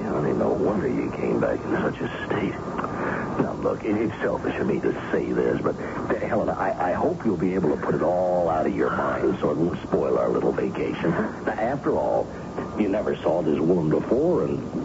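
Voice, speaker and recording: A man's voice: rumbling low, huge pitch swings, normal speed, creaky, background noise, heavily acted. An actor playing a fond, middle-aged father in a radio mystery, with a hesitant, folksy, exclamatory manner. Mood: soothing. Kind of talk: radio mystery drama acting. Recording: muffled old radio broadcast with music.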